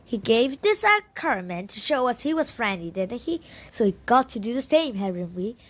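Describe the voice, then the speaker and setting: A woman's voice: a little high, very animated, normal speed, slight background noise. A woman reading the part of an eager, earnest young island boy in a storybook, plain-spoken and persuading. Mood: eager, thoughtful.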